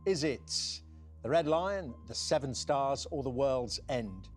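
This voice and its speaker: A man hosting a television quiz show, brisk and jokey with the contestants. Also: medium pitch, animated, faint music behind. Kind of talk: scripted quiz presenting.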